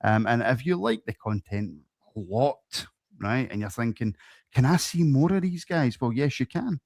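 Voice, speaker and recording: A man hosting a podcast, jokey and quick to laugh at himself. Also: mid-range voice, animated, vocal fry, a little mellow, no room sound, studio quality. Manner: jokey, laughing, self-mocking. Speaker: podcast host. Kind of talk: casual podcast chat.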